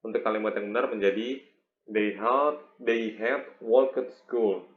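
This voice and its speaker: A young Indonesian man with an Indonesian accent gives an instructional presentation as a student teacher, halting and often repeating words. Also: on the low side. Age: young adult. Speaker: English education student presenting a teaching video. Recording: echoey room, muffled, faint noise.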